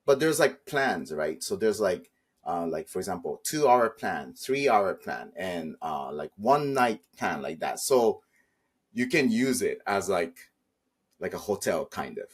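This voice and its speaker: A man's voice: sits low, very animated, measured pace, husky. A man from Saipan chatting casually as an interview guest, hesitant and modest, slightly nervous speaking English. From Saipan, Northern Mariana Islands. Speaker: podcast guest, travel vlogger.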